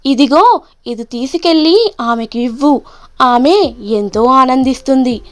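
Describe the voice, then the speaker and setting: A woman's voice: high voice, expressive, measured pace. A woman voicing a kind, honest monkey in a children's storybook, speaking simply and earnestly.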